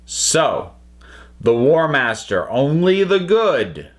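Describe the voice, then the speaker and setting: A man giving an unscripted review to viewers, speaking emphatically and haltingly as he thinks aloud. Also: a little high, very animated. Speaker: reviewer and channel host.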